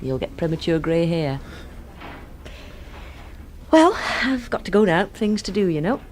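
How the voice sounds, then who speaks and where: A woman's voice: fairly low pitch, sing-song, measured pace, darkish tone. An actress playing a hip, independent young woman, flippant and casual, in a radio drama. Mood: flippant, breezy. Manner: flippant, casual, self-assured. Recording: noisy background ambience.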